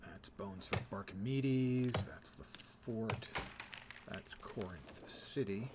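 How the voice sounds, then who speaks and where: Low-key male streamer over clear game noise. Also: slow pace. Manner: muttering to himself, thinking aloud. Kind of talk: casual live gameplay commentary.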